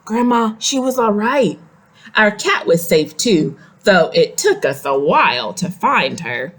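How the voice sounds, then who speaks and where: Woman, children's read-aloud storyteller, very slow pace, lively swooping intonation, medium pitch, explanatory manner. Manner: expressive, explains and asks simple questions. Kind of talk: picture book read-aloud for young children with explanatory asides.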